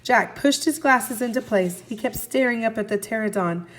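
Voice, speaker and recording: A woman with a fairly high voice reads a children's book aloud as a teacher would to a young class. Her delivery is expressive, and she steps in to recap and put questions to her listeners. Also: normal speed, low-quality recording. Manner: expressive, asks listeners questions and answers for them. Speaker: first grade teacher. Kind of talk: children's read-aloud, recapping and narrating a story.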